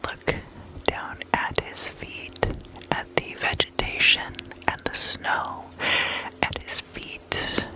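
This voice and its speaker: Whispering woman, slow ASMR delivery. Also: rough recording. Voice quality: breathy, unvoiced whisper. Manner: soft, deliberate, repeats words slowly and hypnotically. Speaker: ASMR host and tarot meditation guide. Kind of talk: whispered ASMR guided meditation.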